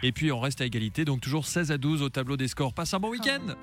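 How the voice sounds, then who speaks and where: A man's voice: on the high side, big pitch swings, quick pace, a bit bright, soft background music. A man co-hosting a morning radio show, announcing segments in an upbeat way. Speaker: radio co-host. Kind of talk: casual morning radio chat.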